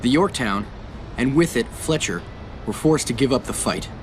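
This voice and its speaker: An actor playing a battle-weary navy captain who narrates in a solemn, measured way in a video game. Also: male voice, medium pitch, animated, noisy background, lightly acted. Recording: voice over loud music score.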